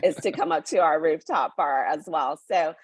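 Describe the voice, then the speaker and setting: Woman, from New York, eager, many fillers, husky, dry close sound. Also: deep voice, normal speed, dry recording. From born in Hong Kong, raised in New York. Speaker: hotel representative. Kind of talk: promotional interview.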